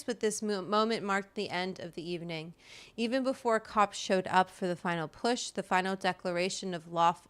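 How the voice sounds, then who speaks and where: A woman's voice: mid-range voice, a bit bright, clear, resonant tone. A woman hosting a talk, reading a written political essay aloud in an emphatic, impassioned way with brief asides. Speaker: host reading an article aloud.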